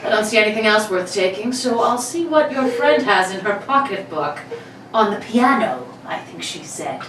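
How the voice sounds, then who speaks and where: A woman's voice: medium pitch, animated, normal speed, lightly acted. A woman playing a cocky male burglar of about thirty in an old-time radio play reading, gloating and mock-courteous. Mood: gleeful, mocking. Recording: roomy, distant mic, background noise.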